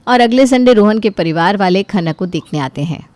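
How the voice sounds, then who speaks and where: A woman's voice: mid-range voice, animated, a little fast, darkish tone, dry recording. A woman giving scripted storytelling narration for a cartoon.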